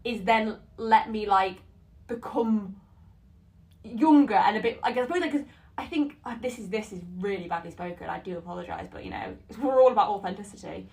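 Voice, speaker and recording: A woman in her twenties co-hosting a chat podcast, rambling and cutting herself off, her voice a little deeper than usual from allergies. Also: brisk, creaky, darkish tone, recorded from a distance. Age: 20s. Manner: rambling, self-interrupting, laughs at herself. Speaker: podcast co-host. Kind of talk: casual chat.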